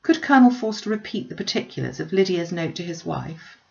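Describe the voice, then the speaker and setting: Anxious, quick-witted young gentlewoman, storybook played by a female reader: emphatic, probing, gravelly, dull recording. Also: lowish voice, animated. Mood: urgent questioning.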